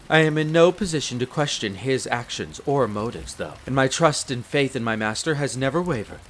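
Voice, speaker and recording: A man acts out a devoted wolf butler in a fantasy audio drama. His pitch sits mid-range for a man, and his speech is formal, polite and deferential. Rain patters behind him. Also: lightly acted, rough recording. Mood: courteous, reassuring. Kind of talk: scripted roleplay audio drama. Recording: rain noise over the voice. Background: steady rain.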